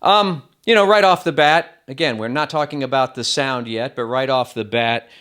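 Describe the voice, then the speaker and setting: A man's voice: mid-range voice, moderate pace, fairly bright tone, smooth. A man hosting a casual gadget review, chatting to the viewer with self-deprecating asides. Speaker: tech reviewer, host.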